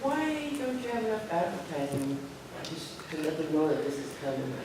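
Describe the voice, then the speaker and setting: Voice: medium pitch, sing-song, moderate pace. An audience member questioning frankly and informally at a community discussion. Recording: very echoey, distant, noisy.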